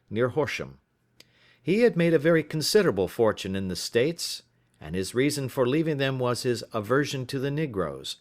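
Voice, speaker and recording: A man reading a first-person literary story as an audiobook narrator, in a measured, formal storytelling manner. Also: medium pitch.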